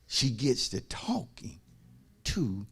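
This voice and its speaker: Raspy, fervent American male preacher. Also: mid-range voice, very animated, slow pace. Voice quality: raspy, gravelly. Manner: folksy, emphatic, repeats phrases, swings from hushed asides to shouting. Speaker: pastor preaching to a congregation. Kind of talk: fervent sermon.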